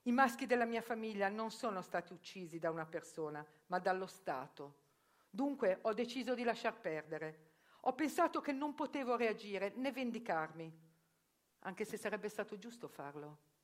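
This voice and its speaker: A woman's voice: mid-range voice, animated, moderate pace, lightly acted. An actress playing an older Albanian sworn virgin who lives as a man, telling her story in a proud, matter-of-fact theatre monologue. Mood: solemn. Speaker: actress performing a theatrical reportage.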